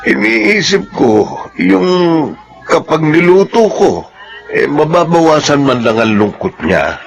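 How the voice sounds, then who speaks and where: A man's voice: on the high side, very animated, slow, gravelly, full character voice. An actor playing a loyal, plain-spoken, caring male farmhand in a Filipino radio drama. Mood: worried, shy.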